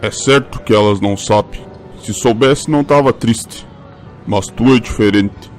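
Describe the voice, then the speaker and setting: Man as elderly eccentric merchant, Brazilian accent, low pitch, folksy colloquial talk, audio drama. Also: measured pace, darkish tone, soft background music, close to an everyday voice. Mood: cryptic. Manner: rustic colloquial speech. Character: half-mad old travelling junk merchant, blunt and folksy.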